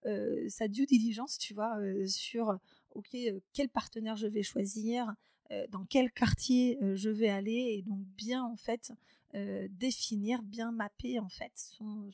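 A woman's voice: medium pitch, a little mellow, dry recording. A woman in her thirties, a podcast guest, talking casually with many hesitations and restarts. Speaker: podcast guest, company founder. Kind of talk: casual interview talk.

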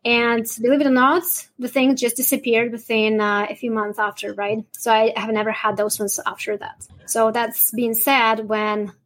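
A woman's voice: a little high, level tone, moderate pace, few pauses, a bit bright. A woman from Russia, answering interview questions in a rambling way and often adding "right?". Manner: rambling, frequent "right?" tags, light laughs. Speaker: guest, holistic nutritionist.

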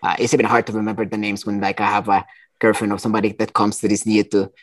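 A man, a guest talking in a relaxed, rambling way. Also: fairly low pitch, fast talker, no echo. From Costa Rica. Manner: relaxed, rambling, many fillers and restarts. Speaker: entrepreneur guest. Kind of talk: casual interview.

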